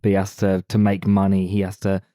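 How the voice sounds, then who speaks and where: A man's voice: low voice, completely flat delivery, a little fast, dark tone, clear, resonant tone, no room sound, studio quality. A man co-hosting a film podcast, talking casually as he reviews movies.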